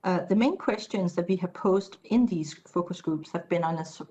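A middle-aged woman giving a keynote over a video call, speaking in a measured way with frequent fillers. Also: fairly low pitch, mellow, muffled recording. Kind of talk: conference presentation. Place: indoor room, video call.

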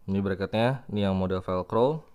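A man's voice: low voice, a little fast, darkish tone, smooth. A young man, chatting informally to viewers as he demonstrates gear in a casual unboxing style. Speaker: vlogger reviewing gear. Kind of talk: casual unboxing and product demo. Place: room at home with tiled floor.

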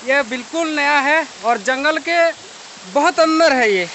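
A young man from Odisha, India, vlogging excitedly as he hikes through a forest to a waterfall, filming on a phone at arm's length. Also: very high voice. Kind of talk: casual vlog narration while hiking. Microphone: phone held at arm's length. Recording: noisy outdoor phone recording. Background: rushing water.

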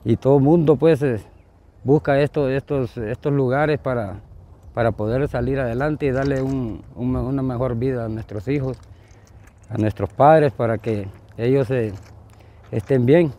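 A middle-aged man from Honduras, giving a personal testimony outdoors beside railway tracks. He talks haltingly, often repeating and restarting his words, recorded by a close handheld camera. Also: medium pitch, warm tone. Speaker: migrant interviewee.

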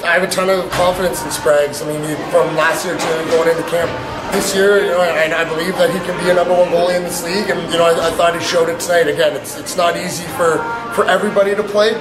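A man in his thirties, a hockey head coach, answering post-game media questions in halting, repetitive phrasing. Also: a little high, fairly even intonation, echoey room, loud music behind the voice, rough recording. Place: indoors in a small media room before a sponsor backdrop.

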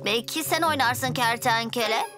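A woman voicing an excitable young child superhero in a cartoon dub, talking in animated exclamations. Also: a little high, moderate pace, natural voice. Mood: excited. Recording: music score underneath.

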